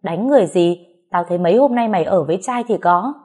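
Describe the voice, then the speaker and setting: A woman's voice: lowish voice, expressive, fast, a little mellow. A woman narrator voicing gossiping market-folk neighbours in a story, nosy, judgmental and disparaging. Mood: scornful.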